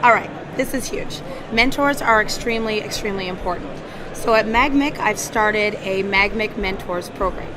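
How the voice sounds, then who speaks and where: A woman's voice: mid-range voice, normal speed, a crowd in the background. A woman in HR giving an earnest conference talk, with a scratchy voice, often repeating her words. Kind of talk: conference presentation. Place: conference hall.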